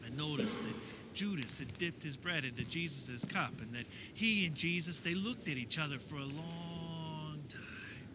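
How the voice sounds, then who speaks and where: An actor playing an earnest, uneasy disciple of Jesus, telling his story in a halting, conversational radio theater monologue. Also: on the high side, heavy background noise, close to an everyday voice. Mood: uneasy, wondering. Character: earnest, uneasy male disciple of Jesus recalling the last supper. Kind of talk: dramatic first-person radio theater monologue.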